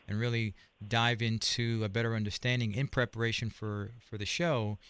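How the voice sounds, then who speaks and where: A man's voice: medium pitch, fairly bright tone. A man hosting an interview show, asking long, hesitant questions full of restarts. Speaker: show host.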